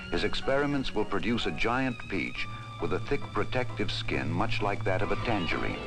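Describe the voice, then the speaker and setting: Male narrator, 1960s industrial film. Low voice, animated intonation, formal and deliberate, music underneath. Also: gravelly, low-quality recording. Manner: measured, formal, deliberate delivery.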